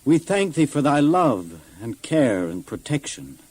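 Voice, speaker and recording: An actor playing a faithful, authoritative Israelite father in an audio Bible drama for children, with a husky voice. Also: medium pitch, big pitch swings, measured pace, darkish tone, no echo. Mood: solemn, orderly. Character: steady, faithful Israelite father, head of a wandering family.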